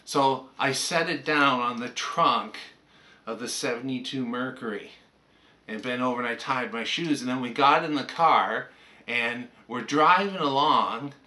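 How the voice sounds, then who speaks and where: A man's voice: medium pitch, unhurried, pushed and bright. An older man with a Canadian accent, chatting casually and anecdotally as he reminisces like a hobbyist presenting on a vlog.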